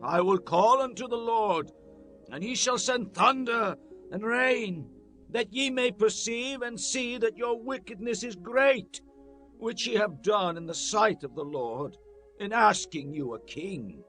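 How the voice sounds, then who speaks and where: A man acts out a stern old prophet in a dramatized scripture reading. The voice is high and forceful, declaiming solemnly and slowly to his people. Soft music plays underneath. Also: lively intonation. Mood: stern admonishing. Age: older. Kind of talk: dramatized King James Bible reading.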